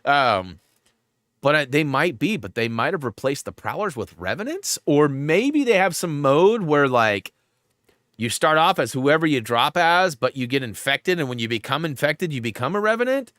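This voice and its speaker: A man's voice: fairly high pitch, big pitch swings, normal speed, fairly bright tone, no room sound, clean studio sound. A man hosting a gaming livestream in a rambling, casual way, full of fillers, and a bit stuffed up. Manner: rambling, filler-heavy, lots of "like". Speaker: livestream host.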